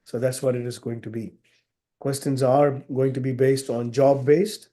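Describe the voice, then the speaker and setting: A man in his thirties speaks over a video call with a husky, slightly sick voice, clearing his throat often. His tone is dark and mellow, and he talks in a measured, hesitant way full of fillers, like an examiner explaining the rules of an exam. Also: medium pitch, steady tone. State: under the weather, husky voice. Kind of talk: formal exam briefing.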